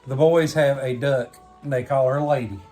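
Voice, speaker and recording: A woman reading a picture book aloud to a young child, pausing to ask simple questions. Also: a bass voice, fairly even intonation, mellow, soft background music. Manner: simple questions and prompts addressed to a small child. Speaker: bedtime story reader.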